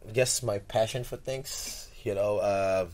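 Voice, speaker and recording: A man's voice: lowish voice, a little slow, darkish tone. A young man from Togo, a podcast guest, talking in a rambling, reflective way with many restarts and repeated words. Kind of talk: casual interview.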